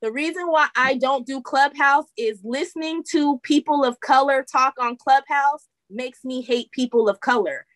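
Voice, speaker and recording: A woman's voice: sits high, moderate pace, hardly any pauses, a bit bright, no room sound. A woman co-hosting a casual podcast, talking bluntly and with exasperation, stretching out words for emphasis. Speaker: podcast co-host.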